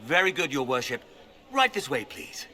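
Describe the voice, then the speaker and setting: A man's voice: medium pitch, sing-song, moderate pace, sharp tone. An actor voicing a formal, obsequiously polite male waiter in a video game. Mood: deferential. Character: formal, deferential male waiter serving an honored guest. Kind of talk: video game character acting.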